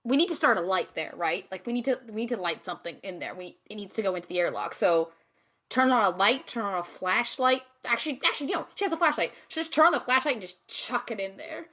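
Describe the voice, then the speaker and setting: A woman's voice: mid-range voice, lively intonation, fast, bright, forceful tone. A woman playing a tabletop game, speaking informally and repeating herself for emphasis as she works out moves. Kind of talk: casual chat.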